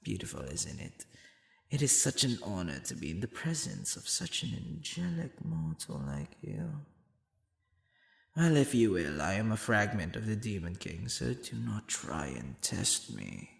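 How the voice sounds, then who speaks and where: Man playing a gravelly, suave demon boyfriend. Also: lowish voice, sing-song, echoey room, heavily acted. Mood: proud, grandiose.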